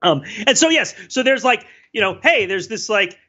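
Bright-voiced man in a dull recording. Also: high-pitched, animated, moderate pace. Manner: digressive and wordy, restarts often, laughs at own jokes. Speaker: podcast co-host. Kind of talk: casual analytical podcast chat.

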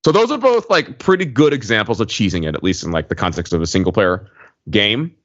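A man's voice: on the low side, lively intonation, brisk. A man, probably middle-aged, chatting casually as a podcast co-host, explaining things in a wordy, hesitant way with many asides. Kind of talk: casual podcast chat, explaining video game slang. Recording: muffled audio in an echoey room.

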